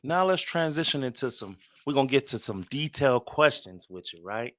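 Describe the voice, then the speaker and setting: A man's voice: medium pitch, animated, moderate pace, fairly bright tone, no echo. A man co-hosting a casual interview, laid-back as he steers the talk toward the next questions.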